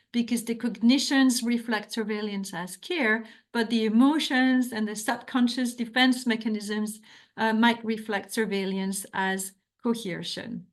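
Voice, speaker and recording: A middle-aged woman from France with a French accent, giving an academic talk and answering questions, earnest and full of restarts. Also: fairly high pitch. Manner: earnest, hesitant with frequent restarts. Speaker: academic author.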